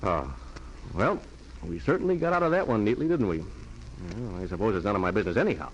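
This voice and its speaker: A man's voice: on the low side, sing-song, quick pace. An actor playing an easygoing, teasing idle young rich man in a 1940s radio drama. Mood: wry. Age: young adult. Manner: breezy, teasing. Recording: dull, hissy 1940s radio recording.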